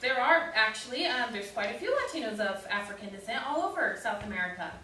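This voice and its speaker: In a very reverberant room with faint noise, a woman plays a young guest in a staged reading. Her voice is fairly high, and she speaks patiently and politely, with some hesitation. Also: animated, fast, pushed and bright. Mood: patient, correcting. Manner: hesitant, courteous. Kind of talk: live staged play reading. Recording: very echoey room, distant mic, hiss.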